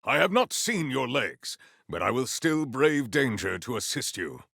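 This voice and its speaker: Gravelly, formal warrior hero. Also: male voice, medium pitch, very animated, measured pace, a bit bright, no echo, clean studio sound, full character voice. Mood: proud. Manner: formal, stilted, grandiose diction without contractions. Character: proud, formal, battle-hungry male warrior hero. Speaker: video game voice actor. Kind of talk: scripted video game character lines.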